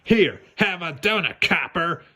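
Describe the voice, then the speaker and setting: A man's voice: sits high, animated, measured pace, sharp tone, pitched above the performer's own voice, full character voice. A man voicing a sneering male weasel thief who taunts his pursuer. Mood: taunting.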